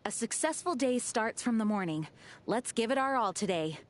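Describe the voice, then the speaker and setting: A woman's voice: a little high, lively intonation, moderate pace, lightly acted. An actress voicing an earnest, dutiful young female knight commander in a video game, composed and formal. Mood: resolute. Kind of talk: video game character voice lines.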